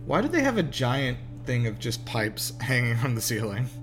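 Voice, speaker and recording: A man with an animated, widely swinging delivery chats casually as he reacts to a film. He sounds incredulous and questioning, with clear music playing behind him. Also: mid-range voice, normal speed. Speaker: host of a movie reaction show.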